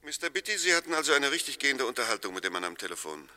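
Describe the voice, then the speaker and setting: An actor playing a persuasive defence lawyer in a radio play, speaking precisely and argumentatively. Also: male voice, medium pitch, sing-song, racing, sharp tone, no echo. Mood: probing. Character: persuasive male defence lawyer, probing and sure. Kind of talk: German radio drama acting.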